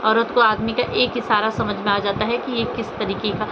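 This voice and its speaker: Indignant woman vlogger over loud background noise. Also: mid-range voice, steady tone, moderate pace. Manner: indignant, reproachful, rhetorical questions. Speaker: social media vlogger commenting on online drama. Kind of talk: unscripted opinion commentary on a personal scandal. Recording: muffled, lo-fi, loud vehicle and ambient noise.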